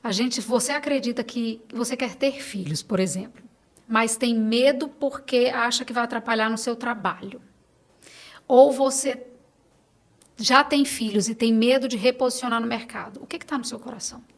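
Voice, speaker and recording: A woman with a Brazilian accent and a fairly high voice talks as a podcast guest. She is earnest and emphatic, urging the listener on in a conversational, personal way. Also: normal speed. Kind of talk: conversational interview, personal testimony.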